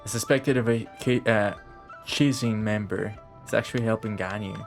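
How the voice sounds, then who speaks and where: A man's voice: fairly low pitch, moderate pace. A man giving casual, sarcastic play-along game commentary, swearing freely. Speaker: game streamer. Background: video game music.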